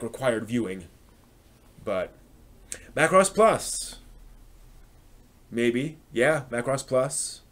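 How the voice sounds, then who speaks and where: A man with a mid-range voice hosts a casual live stream. He talks through his opinions as he forms them, pausing and circling back. The delivery is informal and conversational, as if replying to a chat. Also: mid-range voice. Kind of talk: casual discussion answering chat comments.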